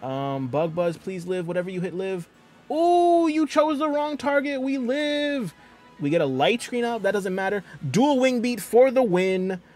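A man's voice: high voice, sing-song, a little slow, a little mellow, soft background music. Playful man chatting on a game stream. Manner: chatty, playful, reads chat aloud. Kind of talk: casual live-stream chat while gaming.

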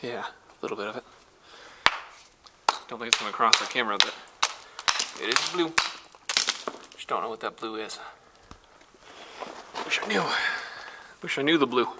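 A man talks his way through a dark abandoned mine in an easy, wry tone, curious about what he finds. He stays cautious and warns his companion off shaky spots. Clear background noise sits under his voice. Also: medium pitch, expressive, moderate pace, long pauses. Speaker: mine explorer and vlogger. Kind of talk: casual exploration narration. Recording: noisy mine tunnel recording.

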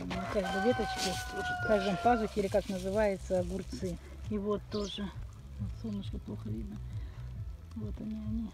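A woman chatting in a homely way as she films her garden, often using diminutives. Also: medium pitch. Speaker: vlogger showing her garden. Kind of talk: casual vlog commentary. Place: outdoor rural garden and yard. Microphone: phone camera held while filming.

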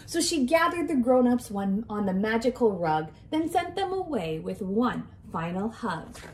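Animated, high-voiced young woman reading to children. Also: a little slow, a little hiss or hum. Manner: expressive, sing-song, addressing young children. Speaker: kindergarten teacher. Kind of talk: children's picture book read-aloud. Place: small indoor room with decorated walls.

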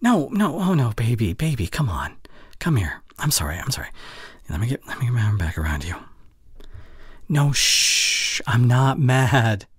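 Man playing a tender boyfriend, softly husky. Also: medium pitch, very animated, no room sound, clean studio sound. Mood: shocked, panicked. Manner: intimate, halting, breathy sighs, stammering when flustered. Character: overworked, tender young boyfriend, anxious and protective. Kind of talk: ASMR boyfriend roleplay audio drama.

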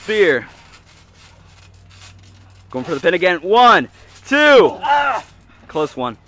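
A young man giving live play-by-play of amateur wrestling in short clipped calls, with excited outbursts at big moves. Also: high voice, very slow. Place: outdoors in a backyard beside a trampoline. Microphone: handheld camera mic. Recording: noisy handheld outdoor camera audio.

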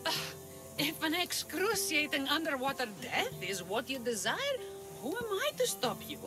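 A woman's voice: high voice, big pitch swings, moderate pace, over music. An actress voicing a sour female trial master who gives dry, weary warnings.

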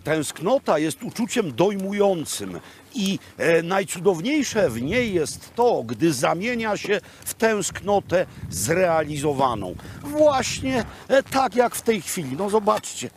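Slow, creaky man talking on location outdoors. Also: on the high side. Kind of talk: playful on-location monologue. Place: outdoors on a mountain top. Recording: noisy outdoor location sound.

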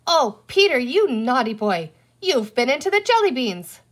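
A woman's voice: sits high, big pitch swings, moderate pace, pitched above the performer's own voice. A woman voicing a bossy, exasperated young girl in a story, scolding and commanding as a big sister.

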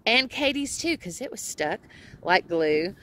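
A woman's voice: medium pitch, big pitch swings, unhurried, pushed and bright. A woman in her forties with a Texan accent, chatting casually to a phone camera outdoors at an RV campground, rambling and laughing often. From Texas. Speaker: RV travel vlogger. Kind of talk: casual selfie vlog chat. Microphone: phone camera at arm's length.

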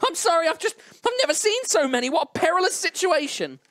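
Woman as nervy female crew navigator, stammering, bouncy pitch. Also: very animated, fast, a bit bright, no room sound, heavily acted. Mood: alarmed. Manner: panicky, stammering. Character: jittery female ship navigator, alarmist.

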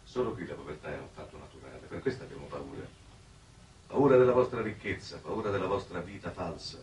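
An actor playing a weary, resigned exile from Earth in a 1960s television drama, speaking in a measured, grave way. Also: male voice, deep voice, expressive, darkish tone. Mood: grave, impassioned. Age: middle-aged. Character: weary, resigned, fatalistic man exiled on another planet. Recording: noisy old 1960s TV broadcast soundtrack.

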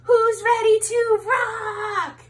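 A woman voicing an excitable sheep who fronts a farm-animal punk band in a storybook, rallying and showy. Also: very high voice, drawn-out words, pitched above the performer's own voice, exaggerated voice. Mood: excited.

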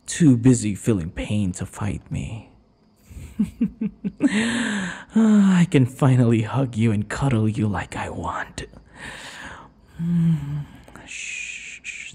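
A man playing a possessive, taunting vampire captor in an intimate ASMR roleplay, his breathy voice often dropping into whispers. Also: mid-range voice, dark tone, exaggerated voice. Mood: soothing. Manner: taunting, slow, drawn-out endearments. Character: possessive, obsessive male vampire captor and would-be lover. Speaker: audio roleplay voice actor.

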